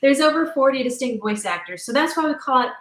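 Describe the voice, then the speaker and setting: A woman talking as an interview guest about her creative work, enthusiastic, animated and emphatic. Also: high-pitched, quick pace. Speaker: guest, audio drama creator. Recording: roomy, echoing sound.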